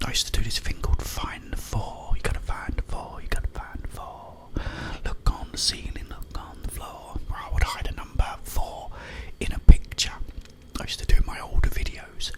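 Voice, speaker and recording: A British man whispering an informal, rambling ASMR monologue, playfully repeating words as he goes. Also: normal speed. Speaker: ASMR content creator.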